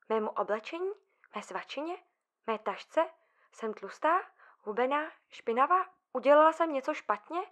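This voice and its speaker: An actress playing an anxious, fragile female psychiatric patient in an audio drama, with nervous, halting delivery. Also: sits high. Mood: rising panic.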